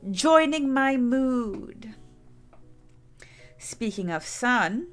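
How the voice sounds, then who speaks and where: A woman streamer talks to her viewers at an unhurried pace, her pitch sitting in an ordinary middle range. She rambles and restarts sentences as she goes. Faint music plays underneath. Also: fairly bright tone, clean tone. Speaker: game streamer. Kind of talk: casual chat to stream viewers.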